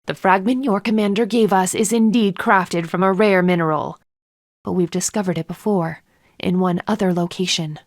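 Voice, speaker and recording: A woman's voice: on the low side, moderate pace, dry recording, studio quality, lightly acted. An actress voicing a stern, haughty female panda palace enforcer in a video game, formal, commanding and disdainful. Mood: stern, official.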